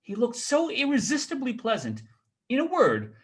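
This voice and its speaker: A man reading a story aloud as a theatrical storyteller, dramatic and expressive. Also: very high voice, normal speed.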